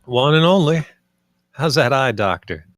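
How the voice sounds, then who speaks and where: An actor at a film table read playing a gruff, mocking spaceship assessor with a sardonic, dismissive manner. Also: male voice, mid-range voice, lively intonation, measured pace, no room sound, clean studio sound, natural voice. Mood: breezy.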